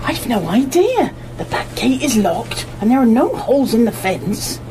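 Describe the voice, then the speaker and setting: A woman playing a practical, caring householder in an amateur radio play, expressive and matter-of-fact. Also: on the high side, a little slow. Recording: noisy live amateur radio broadcast recording.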